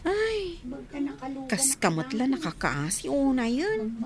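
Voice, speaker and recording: A woman's voice: high voice, huge pitch swings, slow pace, a crowd in the background, full character voice. A woman playing a teasing, dismissive teenage schoolgirl in a village comedy radio drama. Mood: chatty, curious.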